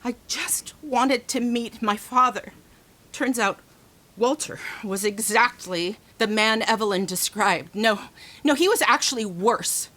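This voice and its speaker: Hurt defensive daughter in a radio play, bit high pitched, halting, emotional. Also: female voice, pushed and bright. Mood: resigned, bitter. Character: wounded, defensive adult daughter, emotional and frank. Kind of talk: radio drama acting.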